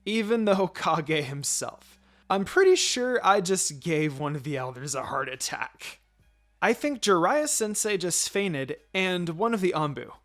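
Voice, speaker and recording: A man reading a first-person story aloud in an expressive, playful way. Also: sits high, unhurried, soft background music. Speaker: fan fiction reader.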